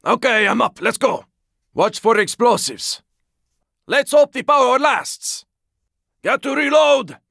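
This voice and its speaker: An actor playing a gruff, battle-worn survivor in a zombie video game, giving terse, intense callouts in a gravelly, raspy voice. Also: male voice, very high-pitched, expressive, slow, long pauses, no echo, studio quality, full character voice. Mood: terse, focused. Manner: terse, intense callouts, often strained or shouted. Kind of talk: video game combat voice lines.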